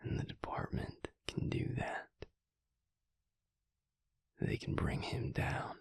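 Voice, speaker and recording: A man playing a protective, brooding vampire lover in an intimate audio roleplay, with a husky, breathy voice and a hushed, intense, deliberate delivery. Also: dry recording, in a whisper, lightly acted. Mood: earnest, frustrated. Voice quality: husky, breathy, gravelly low voice. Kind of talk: intimate audio roleplay acting, speaking to the listener.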